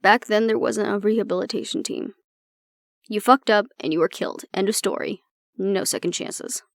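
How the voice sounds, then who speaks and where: A woman's voice: mid-range voice, moderate pace, gravelly, no echo, studio quality, natural voice. A woman acting in an audio roleplay as an exasperated, blunt agent, talking intimately and sardonically to the listener. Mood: reflective, explaining. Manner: blunt, sardonic, conversational, swearing. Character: exasperated woman agent venting about her stubborn, disapproving father.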